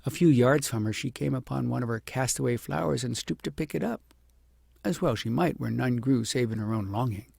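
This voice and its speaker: A man narrates a storybook in a very gravelly, raspy voice. He phrases deliberately and leans on words for dramatic effect. The sound is dry, with little room in it. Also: mid-range voice, a little mellow, dry recording. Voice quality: gravelly, husky. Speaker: audiobook narrator. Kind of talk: expressive scripted storybook narration.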